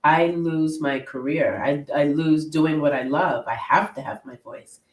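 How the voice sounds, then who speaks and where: A middle-aged woman with a very low, naturally deep voice talks in a self-deprecating, laughing way as a guest on a video call. Her voice sits far from the mic and rings in an echoey room. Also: measured pace, a little hiss or hum. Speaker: guest hypnotherapist. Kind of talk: casual interview chat. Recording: roomy sound from far off the mic.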